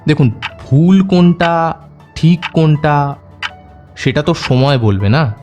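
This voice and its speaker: Man acting a high-voiced, probing detective. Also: a little mellow, muffled recording. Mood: cool, suspicious. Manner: measured, polite, insistent. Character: composed, probing male private detective questioning suspects. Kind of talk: audio drama acting. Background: background music.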